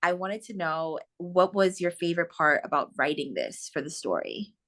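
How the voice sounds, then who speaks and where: Lower-pitched woman hosting casually. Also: moderate pace, fairly bright tone. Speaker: podcast host. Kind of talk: casual interview.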